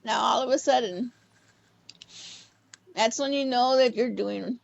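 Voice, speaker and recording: A woman's voice: a little high, a little slow, vocal fry, lo-fi sound. A woman co-hosting a casual podcast, laughing and teasing her co-hosts. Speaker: podcast co-host. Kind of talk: casual chat.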